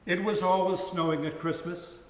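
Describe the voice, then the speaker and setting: A man's voice: high voice, level tone, normal speed. A man giving a dramatic reading of a story, savoring each phrase. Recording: echoey hall with long reverb.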